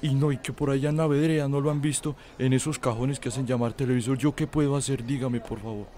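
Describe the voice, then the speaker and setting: A man's voice: medium pitch, steady tone, fast talker, dark tone, lightly acted. A man with an Antioquia Colombian accent playing a naive, flustered young villager in a radio drama. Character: naive, flustered young country man seeking his father.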